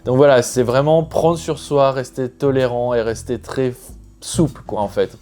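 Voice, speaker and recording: A man's voice: medium pitch, fairly even intonation, moderate pace, dark tone, soft background music. A man from France talking to his viewers in a casual vlog, chatty and thinking aloud as he rambles from point to point. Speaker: expat vlogger.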